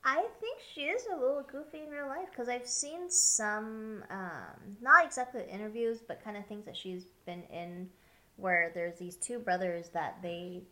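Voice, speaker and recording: A woman co-hosts a relaxed movie review talk, talking freely and laughing easily. Her voice is bright and clear, sitting at a middle pitch. She pads her thoughts with fillers as she goes. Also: measured pace. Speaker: co-host. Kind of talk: casual chat.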